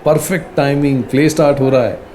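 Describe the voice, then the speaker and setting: A man's voice: on the high side, steady tone, brisk, darkish tone, a little hiss or hum, close to an everyday voice. An actor with an Indian accent playing a friendly male professor explaining things in a scripted radio play. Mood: genial, upbeat.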